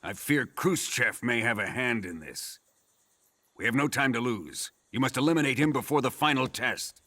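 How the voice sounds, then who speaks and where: A man's voice: mid-range voice, animated, moderate pace, pushed and bright. An actor playing a brutal, commanding male military villain, speaking with menace over game music. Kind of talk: video game cutscene acting. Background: game score music.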